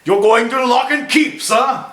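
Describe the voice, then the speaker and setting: A man voicing an excitable, defiant revolutionary puppet in an amateur puppet show, with melodramatic shouty delivery. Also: extremely high pitch, unhurried, slight background noise, pitched above the performer's own voice, full character voice. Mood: defiant, mocking.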